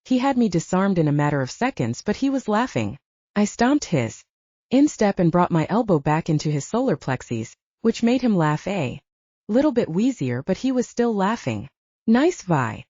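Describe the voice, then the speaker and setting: A woman narrating a first-person fantasy audiobook, with expressive storytelling and lively voiced dialogue. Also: medium pitch, moderate pace, dry recording. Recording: dull lo-fi dry recording.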